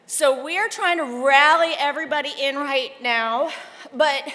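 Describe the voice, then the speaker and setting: A high-pitched woman emcees a gala, loud and lively as she tells stories to the crowd. She speaks into a handheld mic, and her voice rings out through a large hall with a long reverb. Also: moderate pace. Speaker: event co-host. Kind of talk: live emcee banter at a gala. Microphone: handheld microphone.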